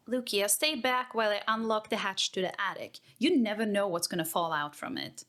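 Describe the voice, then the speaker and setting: A woman's voice: medium pitch, brisk, a bit bright, close to an everyday voice. A woman playing an eccentric, curious tech-priest scholar, affectionate and a little nervous, in a sci-fi audio drama. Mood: puzzled caution.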